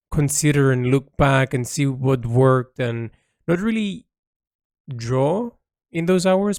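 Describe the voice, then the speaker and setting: A man recording a reflective, unscripted audio log as an artist, rambling and rephrasing haltingly as he thinks aloud into a close mic. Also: mid-range voice, a little mellow, no room sound.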